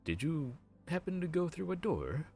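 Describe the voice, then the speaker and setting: A man's voice: fairly high pitch, very animated, moderate pace, dark tone, faint music behind. A man voicing a weary male grim reaper who runs the underworld queue, a sarcastic and exasperated bureaucrat. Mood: puzzled. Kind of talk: audio roleplay.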